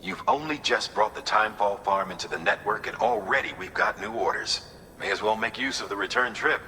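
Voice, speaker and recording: An actor playing a plainspoken, supportive character in a video game, in a muttered, conversational way. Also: male voice, big pitch swings, a little fast, vocal fry, pushed and bright, full character voice. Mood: encouraging, musing. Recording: long reverb, faint rumbling noise.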